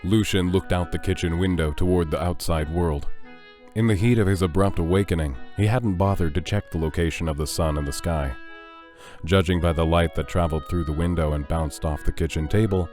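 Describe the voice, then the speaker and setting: Low-voiced male audio drama narrator. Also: brisk, a little mellow, soft background music.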